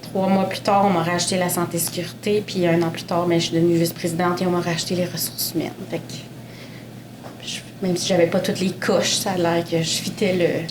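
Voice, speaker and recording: A woman's voice: lowish voice, level tone, brisk. A woman in her forties, a Portuguese speaker, telling her career story as a panel guest with many fillers and restarts. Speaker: panel guest, mining executive. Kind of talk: conversational interview. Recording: echoey room with background noise.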